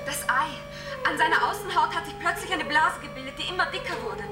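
High, rapid-fire starship crewwoman. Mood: urgent. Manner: urgent, factual reporting. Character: alert, alarmed female starship crew member reporting. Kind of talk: German science-fiction radio drama acting. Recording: echoey, distant, music behind.

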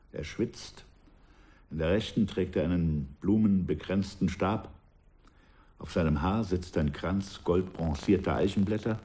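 An aging ex-revolutionary, low and mellow. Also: male voice. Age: older. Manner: measured, wistful, often hushed. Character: aging male ex-revolutionary, reflective and proud. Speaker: former revolutionary recalling his past. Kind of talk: radio drama acting, reflective narration.

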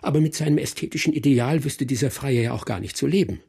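An actor playing an ironic, educated man in a German radio drama, speaking in an ornate, mannered way with a raspy, creaky voice. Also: mid-range voice, fast talker, darkish tone. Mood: amused, sardonic. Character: ironic educated man dissecting an engaged couple with relish.

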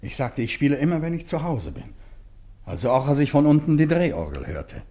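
A cultured, nervy gentleman in a radio crime play. His mid-pitched voice swings widely, formal and precise yet high-strung, in a roomy, echoing space. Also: very animated, fairly quick. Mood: formal, defensive. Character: cultured, nervy male music publisher under questioning. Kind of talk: German radio crime drama acting. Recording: roomy echo.

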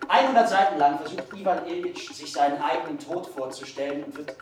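An actor playing a solemn speaker who gives a scripted address to an audience. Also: male voice, a little high, a little fast, noisy background, close to an everyday voice. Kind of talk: scripted stage-style address. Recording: very echoey, distant, music behind.

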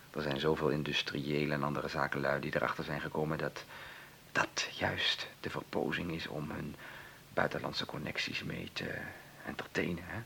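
An actor in a Dutch radio play playing a brash, broke lawyer who talks in a glib, slangy, persuasive way. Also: male voice, extremely low pitch, normal speed, vocal fry, pushed and bright, exaggerated voice. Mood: slick, persuasive. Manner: glib, slangy, persuasive, hesitating over delicate words. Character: brash, scheming lawyer brother, broke and pushy. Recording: hissy old radio play recording.

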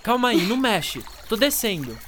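A woman's voice: fairly high pitch, very animated, normal speed, a bit bright, a little hiss or hum. A woman playing an upbeat, helpful boy in a children's audio drama, talking colloquially. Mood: concerned. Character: Marquinho, lively, helpful country-loving boy.

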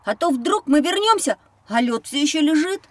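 A woman's voice: sits high, expressive, normal speed, no room sound. A woman voicing a curious, talkative young boy, eager and inquisitive, in a children's educational audio drama. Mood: wondering, worried.

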